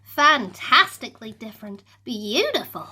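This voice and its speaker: Warm-hearted, bouncy storybook princess, voiced by a woman. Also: fairly high pitch, sing-song, a little slow, breathy edge, heavily acted. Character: loud, cheerful, kind young princess.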